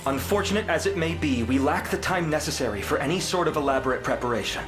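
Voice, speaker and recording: An actor playing a proud, dutiful uncrowned prince in a fantasy game, with regal, formal and deliberate phrasing. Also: male voice, a little high, animated, natural voice. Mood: grave, determined. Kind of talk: dramatic fantasy game voice acting. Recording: loud orchestral music behind.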